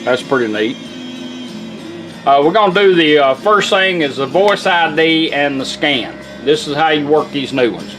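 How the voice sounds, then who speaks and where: Higher-voiced man giving a casual demo. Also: lively intonation, normal speed, a bit bright, soft background music, low-quality recording. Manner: informal, folksy, explanatory. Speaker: radio restorer demonstrating a product. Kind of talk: casual product demonstration.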